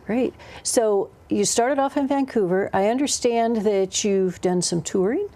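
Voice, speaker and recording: A woman's voice: medium pitch, measured pace, dark tone. A woman hosting an interview show, introducing her guest and asking questions in a conversational way.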